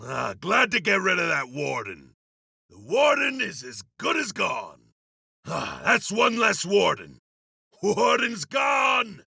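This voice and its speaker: A man voicing a battle-hungry iron berserker for a video game, gruff and cocky, with rough, forceful barks. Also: high voice, big pitch swings, takes it slowly, no room sound, studio quality, full character voice. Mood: triumphant. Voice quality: gravelly, rough.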